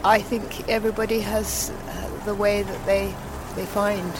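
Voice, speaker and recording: A woman who is a churchgoer speaking thoughtfully and hesitantly, with many pauses. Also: mid-range voice, flat delivery. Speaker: churchgoer on the way to market. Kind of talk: casual street interview. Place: outdoors in a town. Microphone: interviewer's handheld recorder. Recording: outdoor recording with clear background noise.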